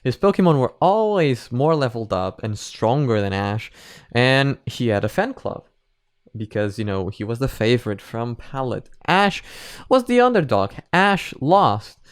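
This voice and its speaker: Clear-voiced man giving casual, rambling commentary. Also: medium pitch, sing-song, a little slow, no room sound, clean studio sound. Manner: rambling, emphatic, casually sweary. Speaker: reaction video host. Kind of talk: casual opinion commentary on anime.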